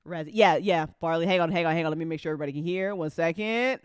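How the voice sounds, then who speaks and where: An effusive podcast host chatting casually and excitedly, using slang and given to laughing. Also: mid-range voice, racing, clear, resonant tone, dry recording, studio quality. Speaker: host of a fiction podcast after-show.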